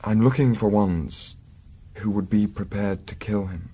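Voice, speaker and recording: An actor playing a discreet, composed Victorian gentleman investigator in a period radio drama, speaking formally with measured, precise diction. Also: on the low side, slightly rough. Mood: firm, explanatory.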